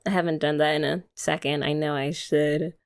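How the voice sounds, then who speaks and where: A woman's voice: low voice, fairly even intonation, moderate pace, clean tone. A young woman voicing a playful, affectionate girlfriend in an ASMR roleplay, chatting casually with giggles and filler words. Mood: tender.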